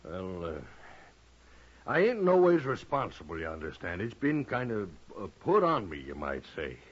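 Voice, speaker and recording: Husky, folksy, halting frontier rancher. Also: male voice, on the low side, wildly swooping pitch, full character voice. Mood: hesitant, uneasy. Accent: American rural Western. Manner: drawling, halting, plainspoken. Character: folksy, uneasy frontier rancher and father, hesitant. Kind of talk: 1950s Western radio drama acting. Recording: dull lo-fi old radio recording.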